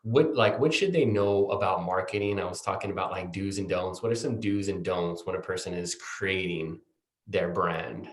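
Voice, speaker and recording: Deep-voiced man in an echoey room. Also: moderate pace. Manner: relaxed, agreeable, many fillers. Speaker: podcast host. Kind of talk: casual interview. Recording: roomy echo.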